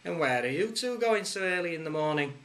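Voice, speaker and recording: A man's voice: a little high, expressive, brisk, fairly bright tone, voice pushed up. A man voicing a jovial male policeman who questions two boys in a playful, mock-stern way. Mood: teasing.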